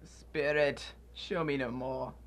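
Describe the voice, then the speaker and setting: A man's voice: mid-range voice, fairly even intonation, takes it slowly, lightly acted. A man playing an anxious, remorseful old miser in a theatrical, pleading, exclamatory radio drama style. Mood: anguished pleading. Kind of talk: live student radio drama acting.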